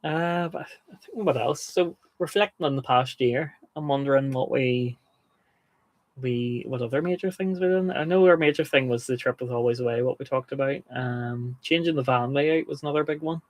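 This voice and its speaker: A man's voice: medium pitch, normal speed, dry recording. A man with a slight lisp, speaking through his teeth in easy livestream chat. His voice sits in a middle range and is recorded close and dry, with no room around it. He laughs readily as he chats. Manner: chatty, laughs often. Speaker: co-host.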